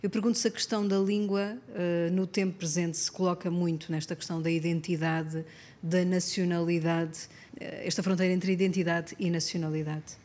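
A woman leads the interview with measured, considered questions. Her voice sits a little low for a woman, calm and steady as she hosts. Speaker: radio culture show host. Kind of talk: radio interview.

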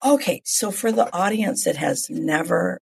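Female radio host, very husky, low pitch, effusive, encouraging, conversational interviewing. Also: animated, measured pace, a little mellow.

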